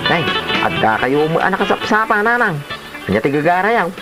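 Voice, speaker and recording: A man's voice: high-pitched, very animated, brisk, over loud music, poor audio. A man playing a stubborn, joking, blustering husband in a comedy audio drama. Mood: dismissive. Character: stubborn, joking husband who raids grave offerings. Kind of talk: comedy radio-style drama acting.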